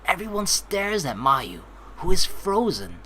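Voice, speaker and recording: A woman hosting a let's play, reading story narration aloud and reacting with animated, excitable commentary. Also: lowish voice, a little slow, slight background noise.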